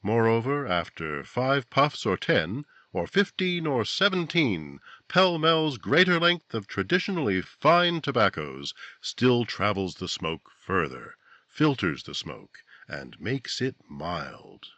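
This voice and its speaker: A man's voice: lowish voice, sing-song, bright, forceful tone, dry recording. A man narrating dramatically in the style of a 1950s radio drama. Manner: dramatic, measured second-person storytelling. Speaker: narrator and announcer.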